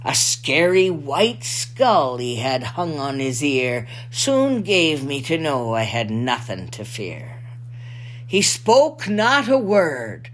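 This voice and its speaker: A salty old pirate lookout played by a woman spins a rhyming storybook yarn. The delivery is theatrical and very slow, swinging widely in pitch, with a faint hum behind it. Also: exaggerated voice.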